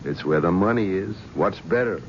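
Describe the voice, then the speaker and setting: A wry, blunt partner at a talent agency, needling and plain-spoken. His voice is low, and it rises and falls a lot. The sound is a dull, lo-fi old radio recording with a faint hiss. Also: expressive, moderate pace. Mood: easygoing. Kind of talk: 1970s radio drama acting.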